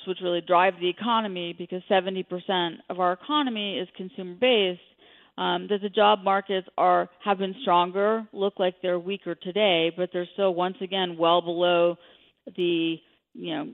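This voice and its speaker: A woman speaking as an expert guest in a radio interview, giving commentary on the economy. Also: fairly low pitch. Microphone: telephone. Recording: narrow, tinny phone line.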